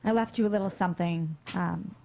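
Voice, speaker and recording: A woman's voice: lowish voice, fairly quick, gravelly, dark tone. A woman actor at a screenplay table read playing a cool, tough woman on the run with dry, teasing bluntness. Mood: guarded, practical. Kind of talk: live table read of a crime comedy screenplay.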